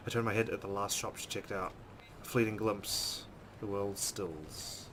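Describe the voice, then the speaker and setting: A man's voice: lowish voice, unhurried, noisy background. A man hosting a let's play, reading story text dramatically and adding casual commentary.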